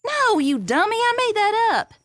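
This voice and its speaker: A woman's voice: very high voice, sing-song, moderate pace, a bit bright, faint music behind, heavily acted. A woman playing a brash, playful space adventurer in an audio drama, with a teasing, breezy manner.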